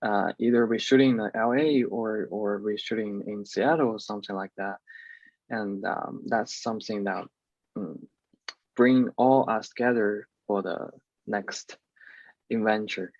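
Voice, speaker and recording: A man, a film producer, speaking halting, non-native English in a casual video interview. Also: lowish voice, steady tone, a little mellow, dry recording, muffled recording.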